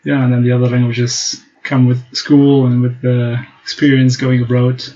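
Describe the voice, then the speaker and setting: Flat-voiced German man, hesitant. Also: medium pitch, moderate pace, slight background noise. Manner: hesitant, many fillers and restarts. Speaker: guest. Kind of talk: casual interview talk. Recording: dull lo-fi podcast audio.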